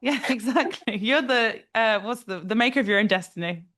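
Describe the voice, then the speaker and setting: A woman hosting a podcast interview, who affirms her guest and asks questions with quick laughs. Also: on the high side, a bit bright. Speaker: podcast host.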